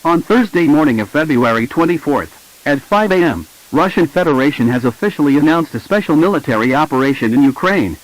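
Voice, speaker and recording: A man's voice: on the high side, animated, brisk, low-quality recording. A man reading a scripted emergency broadcast announcement in a formal, impersonal way.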